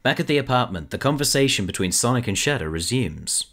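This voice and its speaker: A man's voice: medium pitch, big pitch swings, brisk, fairly bright tone. A man narrating a fan audio drama in a plain, matter-of-fact way. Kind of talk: scripted narration.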